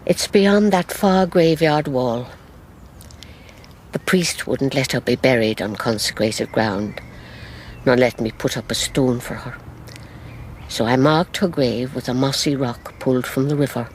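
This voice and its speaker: An actress playing an elderly Irish grandmother in a radio drama, recounting her past in a measured, sorrowful, wistful way. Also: very deep voice, a little hiss or hum, poor audio. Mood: eerie, reflective. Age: older.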